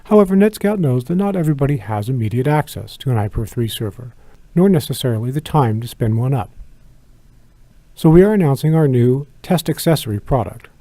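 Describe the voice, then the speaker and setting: A man with a mid-pitched, gravelly voice narrates a product demonstration step by step. His tone is mellow and instructional, and the delivery is measured and methodical. The sound is close and dry. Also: animated, dry recording. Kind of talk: scripted product tutorial narration.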